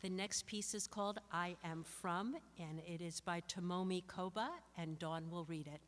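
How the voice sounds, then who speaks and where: A woman's voice: fairly low pitch, steady tone, moderate pace, a little hiss or hum. A woman hosting a live event, making stage announcements to introduce readers. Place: reverberant hall, live event. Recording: long hall reverb.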